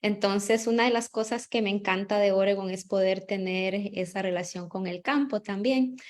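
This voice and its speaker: A woman's voice: mid-range voice, steady tone, moderate pace. A woman with a Costa Rican accent answering as a guest psychologist in a conversational, reflective way, often rephrasing herself. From Costa Rica.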